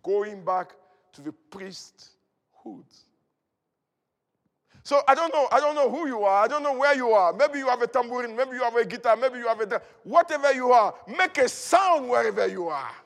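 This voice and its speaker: A man's voice: very high voice, lively intonation, fast talker, hardly any pauses, fairly bright tone. A man preaching a fervent charismatic sermon, emphatic and declamatory, repeating key phrases as he goes. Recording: long hall reverb.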